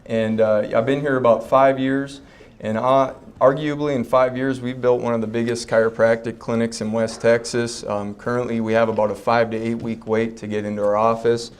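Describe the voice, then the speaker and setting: A man gives a health talk at a mid pitch. He keeps a relaxed, folksy manner, joking with the crowd and calling them "guys.". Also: normal speed. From Fargo, North Dakota. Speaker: chiropractor giving a health talk. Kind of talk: public talk at a health expo. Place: expo breakout session room before a live audience.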